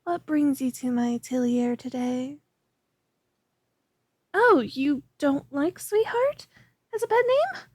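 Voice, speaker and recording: A woman's voice: high-pitched, lively intonation, takes it slowly, pauses often, no room sound, clean studio sound. A woman voicing a young alchemist who chatters as she works and turns obsessive, in a scripted audio roleplay. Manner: chatty, rambling, then clingy and obsessive. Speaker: ASMR roleplay performer.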